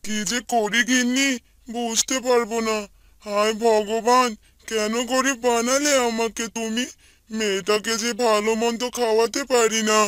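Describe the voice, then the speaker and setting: A woman voicing a poor, gentle, middle-aged fisherman father who talks in a pleading, pious way. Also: a little high, level tone, measured pace, dry recording, clean studio sound, pitched below the performer's own voice, lightly acted. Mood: despairing.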